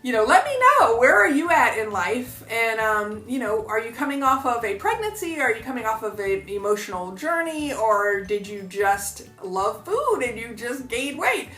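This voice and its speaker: A woman's voice: fairly high pitch, sing-song, moderate pace, few pauses, sharp tone, echoey room, faint music behind. A woman in her fifties vlogging in a chatty, upbeat way, full of fillers and asides to her viewers. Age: 50s. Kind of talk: casual talk to camera.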